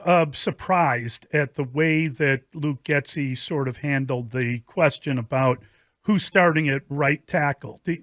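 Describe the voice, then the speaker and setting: A man's voice: fairly high pitch, a bit bright, no echo, clean studio sound. A man co-hosting sports talk radio, asking conversational questions and adding his own opinion.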